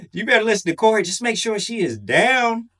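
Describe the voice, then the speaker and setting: A man's voice: high voice, sing-song, moderate pace, bright, forceful tone. A man hosting a reaction video, giving casual joking commentary over clips. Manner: joking, chuckling asides. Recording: distant mic with music under.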